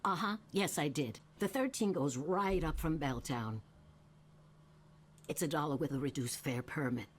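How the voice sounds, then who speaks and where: A woman's voice: low-pitched, moderate pace, slight background noise, natural voice. A woman voice actor playing an eccentric, streetwise older woman who rambles in a folksy, suspicious way in a video game. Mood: breezy, defiant. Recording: game music behind the voice.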